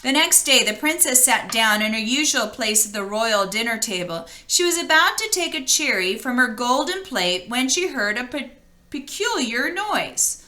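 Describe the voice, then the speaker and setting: Bright, animated woman reading a storybook. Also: a little high, normal speed. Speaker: storybook reader. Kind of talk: expressive read-aloud storytelling.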